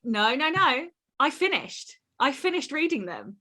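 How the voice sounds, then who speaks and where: A woman co-hosting a podcast in casual chat, animated and laughing often, rambling with fillers, her voice running lower than usual this week. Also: measured pace, a crowd in the background. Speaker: podcast co-host.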